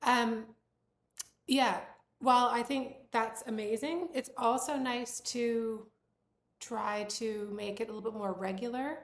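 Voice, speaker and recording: A woman in her forties or fifties from Bermuda, hosting a knitting podcast in casual chat, her voice a little croaky and her talk rambling with lots of fillers. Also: fairly high pitch, steady tone, slow pace, roomy sound. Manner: chatty, rambling with many fillers. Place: room at home with doors closed.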